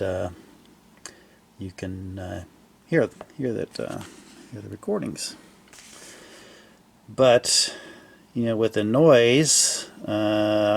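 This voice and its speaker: A man giving a casual, unscripted walkthrough of a repair, speaking haltingly as he thinks aloud and trails off mid-phrase. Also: on the low side, creaky, slight background noise. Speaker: hobbyist repairer presenting his own fix.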